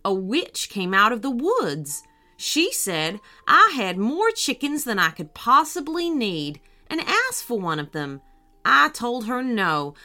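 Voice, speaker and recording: A woman voicing a sad, tired, soft-hearted farmer with a slow, mournful way of talking in a children's story. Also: fairly high pitch, full character voice.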